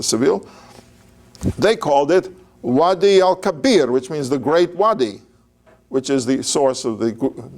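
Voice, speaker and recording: A man's voice: medium pitch, darkish tone. An older man giving an informal, digressive lecture on biblical history, talking conversationally with fillers and restarts.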